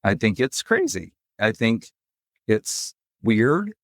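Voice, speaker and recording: A man's voice: fairly low pitch, sing-song, slow pace, no echo, studio quality. A middle-aged man hosting a podcast, reflectively telling a personal story in casual conversation. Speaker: podcast host.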